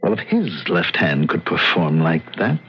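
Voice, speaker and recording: An actor playing a proud, brooding veteran male concert pianist in theatrical, deliberate old-time radio drama style. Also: low-pitched, big pitch swings, slight background noise. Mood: brooding, intense. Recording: muffled old radio recording.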